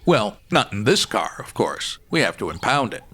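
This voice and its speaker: Folksy, animated state trooper. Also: male voice, lowish voice, moderate pace, breathy edge, heavily acted. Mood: affable. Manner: folksy, matter-of-fact, courteous. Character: polite, folksy male state trooper in radio drama. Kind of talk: old-time radio acting.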